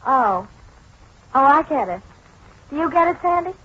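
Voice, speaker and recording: An actress playing a blunt, inquisitive newspaper reporter in a 1940s radio drama, asking plain, persistent questions. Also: female voice, high voice, very animated, measured pace, fairly bright tone, exaggerated voice. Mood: puzzled, curious. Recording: dull 1940s radio broadcast.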